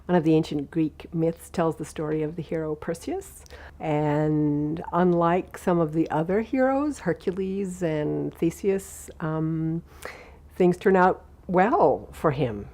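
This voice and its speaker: A woman's voice: deep voice, slow, mellow. A woman audiobook performer giving reflective, unscripted interview commentary about her work.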